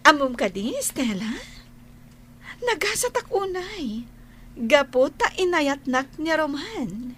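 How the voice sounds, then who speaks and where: An actress playing a gushing, sugary young bride-to-be in an Ilocano radio drama, with an Ilocano accent. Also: female voice, high-pitched, sing-song, slow pace, pauses often, a bit bright, slight background noise, exaggerated voice. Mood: triumphant. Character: Carla, gushing young bride-to-be boasting to her rival.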